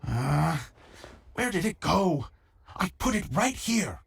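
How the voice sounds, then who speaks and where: An animated, theatrical stage director. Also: male voice, high-pitched, slow pace, gravelly, a little hiss or hum, heavily acted. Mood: flustered. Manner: theatrical, chatty, self-important yet grateful. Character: eager, earnest amateur theater director, creature. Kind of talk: video game cutscene acting. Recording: game music underneath.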